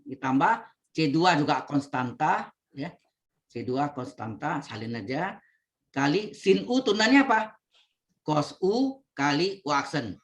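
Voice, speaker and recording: A male lecturer, walking students through a calculus problem on a video call, repeating each step and often checking in with them. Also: fairly high pitch. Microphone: computer mic on video call.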